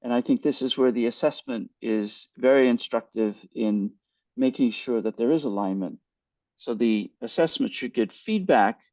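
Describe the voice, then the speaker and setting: A man's voice: mid-range voice, darkish tone, no room sound. Older man answering on a video call. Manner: thoughtful, measured, with frequent restarts and fillers. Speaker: guest speaker. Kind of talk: answering questions in a webinar. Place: home room with virtual background. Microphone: headset on video call. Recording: dull, dry video call audio.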